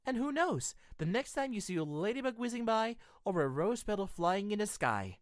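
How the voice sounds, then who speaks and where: A woman voicing a sweet, sincere young hero, earnest and expressive, reading a scripted holiday show message. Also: medium pitch, normal speed, no echo, studio quality, lightly acted. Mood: heartfelt. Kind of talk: scripted show sign-off, audio drama.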